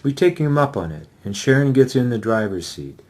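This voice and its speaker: A man's voice: medium pitch, very animated, a little fast, darkish tone. A man, the author, giving a dramatic reading of his first-person novel.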